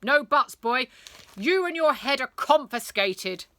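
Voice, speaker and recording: A woman voicing a furious, tyrannical male schoolteacher in a storybook, pompous and barking, with drawn-out words. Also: sits high, expressive, a bit bright, no echo, voice pushed up, heavily acted.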